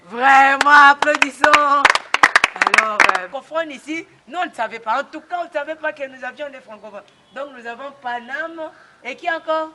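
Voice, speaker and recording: A woman hosting an event, chatty and animated, calling on people to speak and asking for applause. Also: sits high, moderate pace, heavy background noise. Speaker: host. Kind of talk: lively event hosting in French.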